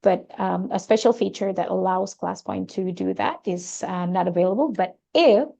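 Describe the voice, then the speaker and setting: Gravelly-voiced woman hosting a webinar. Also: mid-range voice, moderate pace, warm tone. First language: Indonesian. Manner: hesitant, many fillers. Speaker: webinar presenter. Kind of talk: live webinar teaching.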